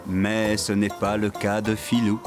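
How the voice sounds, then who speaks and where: A man's voice: lowish voice, monotone, measured pace, over loud music. A man giving scripted storybook-style narration for a children's cartoon.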